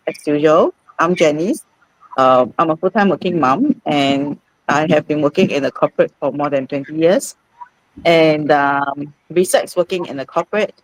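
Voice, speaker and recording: A woman hosts an informal live stream, speaking haltingly and often rephrasing as she goes. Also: sits low, no room sound, low-quality recording. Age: 40s. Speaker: host.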